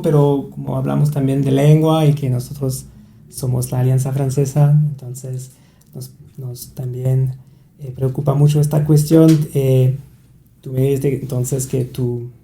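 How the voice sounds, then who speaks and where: A man's voice: medium pitch, creaky, warm tone, echoey room. A man interviewing a guest, speaking hesitantly with frequent restarts. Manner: hesitant, many restarts and fillers. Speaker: interviewer for a language institute. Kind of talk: interview conversation.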